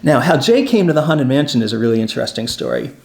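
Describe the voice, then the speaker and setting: A man's voice: mid-range voice, sing-song, quick pace, gravelly. A man telling park history stories in a casual, conversational, enthusiastic way. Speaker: presenter and storyteller. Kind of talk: casual storytelling about theme park history.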